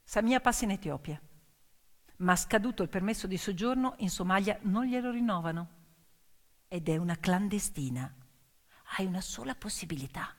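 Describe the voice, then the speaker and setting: A woman's voice: mid-range voice, expressive, normal speed, pauses often. A woman performing a dramatic theatrical monologue as a stage storyteller, intense and emphatic, in short suspenseful phrases. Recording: long hall reverb, piano music underneath. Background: piano accompaniment.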